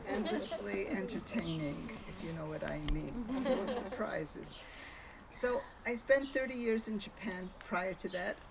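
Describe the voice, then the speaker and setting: A woman's voice: mid-range voice, lively intonation, a little slow, crowd noise. A woman from New York with a New York accent, telling the story of her life at a book launch with wry, chatty jokes. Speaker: author. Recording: distant mic, noisy room.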